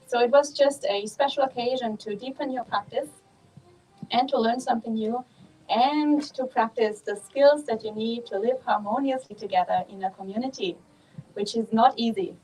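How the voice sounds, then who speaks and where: A woman from Germany with a German accent, a Buddhist nun giving a calm, measured dharma talk at a monastery, pausing often with ums. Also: a little high, poor audio.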